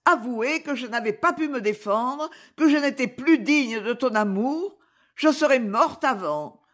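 A woman's voice: high voice, animated, unhurried, voice pushed up. A woman voicing a desperate pleading noblewoman. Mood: ashamed despair. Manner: impassioned, pleading, trembling. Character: desperate young noblewoman in passionate despair. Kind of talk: audiobook dialogue reading.

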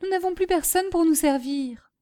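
An earnest little daughter in a storybook , voiced by a woman narrator. She speaks high, with polite eagerness to help. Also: normal speed, dark tone. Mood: earnest, eager.

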